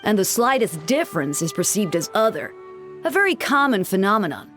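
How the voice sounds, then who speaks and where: A woman's voice: medium pitch, big pitch swings, brisk, fairly bright tone, soft background music. A woman voicing an easygoing, self-assured young church deacon in a video game, with a playful, theatrical manner. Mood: reflective. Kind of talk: animated video game voice acting.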